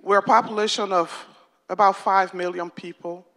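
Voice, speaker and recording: A woman's voice: medium pitch, steady tone, normal speed, fairly bright tone, poor audio. A woman from Liberia earnestly asking a formal question as an audience member at a public forum.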